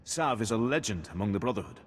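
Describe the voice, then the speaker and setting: An actor playing a grave, wry Imperial captain in a video game, speaking formally and in measured phrases. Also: male voice, fairly low pitch, animated, slightly rough, in a large hall. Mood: grim, resolute.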